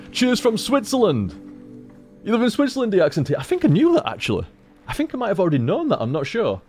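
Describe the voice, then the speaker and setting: A man's voice: medium pitch, a little mellow. A man streaming, chatting casually as he reads out viewer tips, rambling, repeating himself and swearing freely.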